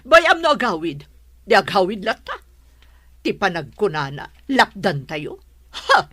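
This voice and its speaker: A woman's voice: mid-range voice, sing-song, unhurried, fairly bright tone. A woman playing a scornful, suspicious middle-aged mother-in-law in an Ilocano radio drama.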